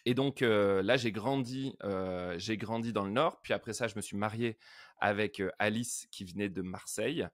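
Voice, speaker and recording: A middle-aged man from the north of France speaks as a podcast guest. His voice is on the low side and closely recorded with little room sound. He chats casually, often repeating words as he finds his phrasing. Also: moderate pace, no echo, studio quality. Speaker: podcast guest, worship pastor. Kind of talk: casual interview chat.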